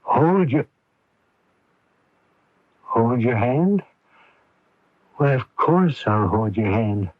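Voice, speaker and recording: A man playing a middle-aged, devoted, anxious father in a radio drama, speaking in a halting, earnest, plain-spoken way. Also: medium pitch, animated, dry recording. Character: devoted, anxious, simple-minded father of ailing daughter. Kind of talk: 1980s radio drama acting with first-person narration. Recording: dull lo-fi AM radio recording.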